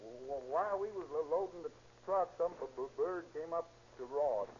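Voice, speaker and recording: Casting: actor as earnest stammering laborer, slightly high, mellow male voice, 1930s radio play. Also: animated, close to an everyday voice. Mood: halting, earnest. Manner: heavy stutter, halting. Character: stammering working-class city laborer, plain and eager witness. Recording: muffled noisy 1930s radio recording.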